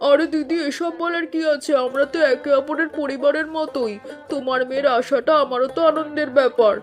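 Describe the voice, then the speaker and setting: A helpful neighbour woman from an animated village tale, acted by a woman. Her voice sits high and sounds friendly. Music runs underneath. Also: brisk, close to an everyday voice. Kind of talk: cartoon voice acting.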